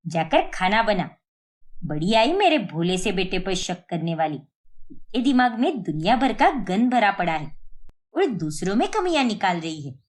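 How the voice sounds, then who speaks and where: Stern older mother-in-law, played by a woman, cartoon drama. Scornful, dismissive, scolding, medium-pitched voice. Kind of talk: Hindi cartoon moral-story voice acting.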